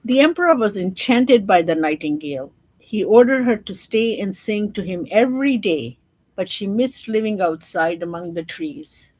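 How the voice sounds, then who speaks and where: A woman's voice: mid-range voice, animated, normal speed, a little mellow, no room sound, rough recording. A woman storyteller reading a children's storybook aloud and explaining it simply to young listeners.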